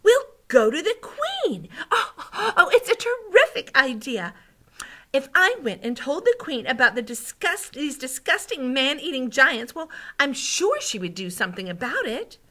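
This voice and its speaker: A woman voicing an indignant, excitable and determined young girl from a storybook. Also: high-pitched, moderate pace, few pauses, pitched above the performer's own voice, exaggerated voice. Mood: excited. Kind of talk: storybook character read aloud.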